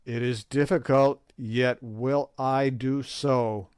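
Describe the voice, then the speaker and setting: A man voicing a stern king who speaks in grave, slow, archaic commands. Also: mid-range voice, fairly even intonation, a little mellow, clear, resonant tone, no room sound, close to an everyday voice.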